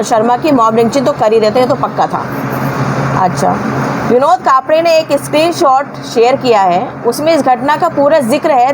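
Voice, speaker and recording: High-pitched indignant woman over clear background noise. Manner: indignant, scolding, emphatic with rhetorical questions. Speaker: news commentator. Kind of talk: informal opinionated news commentary.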